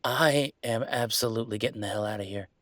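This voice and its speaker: A man's voice: fairly low pitch, fast, a bit bright, dry recording, natural voice. A man playing a restless young werewolf pack heir in an intimate audio roleplay, rambling conversationally with wry, wistful humor. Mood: wry, playful.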